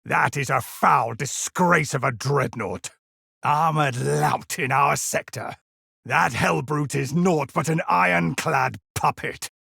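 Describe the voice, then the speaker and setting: A man's voice: fairly high pitch, takes it slowly, creaky, sharp tone, breathy edge, no echo, studio quality, heavily acted. A man voicing a sombre, disdainful space marine in a video game, speaking with grave, formal scorn. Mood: cold disdain. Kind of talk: video game battle barks.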